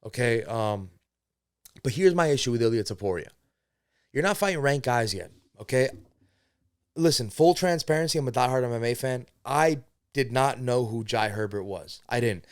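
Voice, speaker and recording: A man's voice: medium pitch, animated, moderate pace, smooth, dry recording, clean studio sound. A young man who was born in Spain and raised in America, hosting a podcast in a casual, rambling and profane way. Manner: rambling, profane, admits to segues. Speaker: podcast host. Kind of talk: casual solo podcast commentary.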